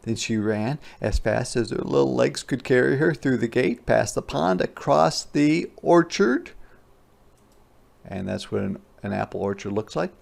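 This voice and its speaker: A man reading a storybook aloud as a teacher, expressively, pausing to explain words simply for children. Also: mid-range voice, expressive, vocal fry, a little mellow.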